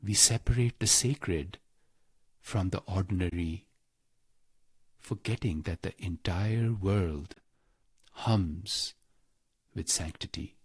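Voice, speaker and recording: A man leading a scripted spiritual guided meditation in a calm, measured, reverent manner with deliberate pauses. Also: low-pitched, slightly rough, no room sound.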